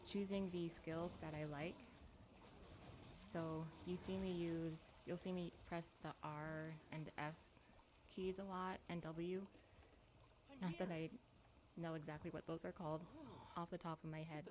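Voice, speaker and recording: A woman's voice: lowish voice, fairly even intonation, unhurried, long pauses, background noise. A woman chatting casually on a livestream while gaming, with a playful, giggly, self-deprecating manner. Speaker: video game livestreamer.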